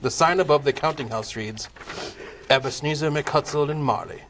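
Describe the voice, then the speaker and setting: A man's voice: fairly high pitch, moderate pace, a bit bright, slight background noise. A man narrating a comic table read of a Christmas play, wry in tone. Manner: wry, laughs at the cast.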